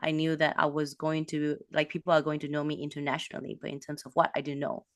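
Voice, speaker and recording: A woman from a Malaysian background, a business coach, talking in an animated way with quick bursts and restarts. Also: sits low, dry recording, clean studio sound. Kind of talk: casual interview talk.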